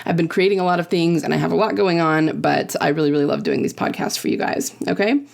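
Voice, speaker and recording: A woman's voice: fairly low pitch, fast, creaky, a little mellow. A woman hosting a podcast that teaches fiction writing, chatting casually and upbeat, often checking in with "right?" and "you know". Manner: upbeat, laughs between points.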